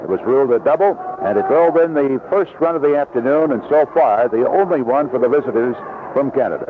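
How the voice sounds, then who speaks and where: A man's voice: medium pitch, animated, fairly quick. A man calling live baseball play-by-play on the radio in clipped, descriptive phrases. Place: outdoor ballpark broadcast booth. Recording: noisy lo-fi 1970s AM radio broadcast.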